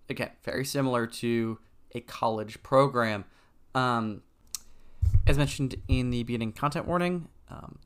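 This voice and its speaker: A man with a smooth, clear voice of middling pitch talks through his subject alone. He is relaxed and conversational, filling gaps with ums and stumbling now and then over names. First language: English. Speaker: podcast host. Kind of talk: solo educational podcast talk.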